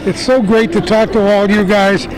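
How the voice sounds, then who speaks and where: Chatty older man, high voice. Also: little pitch movement, normal speed, rough recording. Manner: chatty, teasing, enthusiastic. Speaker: host. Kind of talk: street interviews at an outdoor event. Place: outdoor event with crowd.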